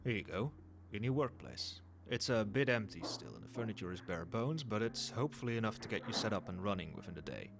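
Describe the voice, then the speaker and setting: Guy acting as a cold ceo boss for asmr roleplay, low voice, formal and measured, noisy background. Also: lively intonation, creaky. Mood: businesslike. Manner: formal, measured, businesslike, faintly suggestive. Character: cold, professional male CEO boss, quietly demanding. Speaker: voice actor in an audio roleplay. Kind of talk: ASMR roleplay, one-sided dialogue to the listener.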